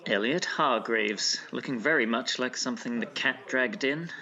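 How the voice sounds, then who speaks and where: An actor playing a proper, dryly teasing but concerned gentleman friend in an audio drama, with old-fashioned polite diction. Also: medium pitch, moderate pace, natural voice, low-quality recording.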